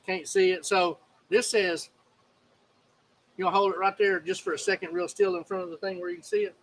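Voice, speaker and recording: A man's voice: high-pitched, lively intonation, brisk, creaky, a bit bright, a little hiss or hum, low-quality recording. A man, co-hosting a casual talk show and chiming in with easygoing questions. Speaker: co-host. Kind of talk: casual chat.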